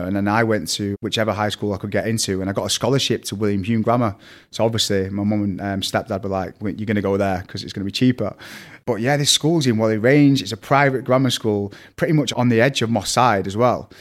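A young man with a strong Mancunian accent, telling stories as a podcast guest in fast, scattered casual chat. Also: fairly low pitch, fairly even intonation. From Manchester, England. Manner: fast, scattered storytelling with fillers.

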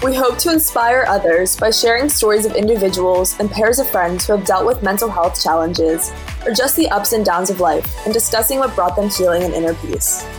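A woman reading a scripted podcast introduction in a calm, measured way. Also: mid-range voice, soft background music.

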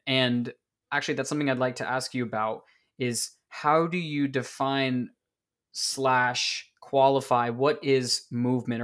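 Relaxed male interviewer. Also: mid-range voice. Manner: relaxed, conversational questioning. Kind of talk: casual interview conversation.

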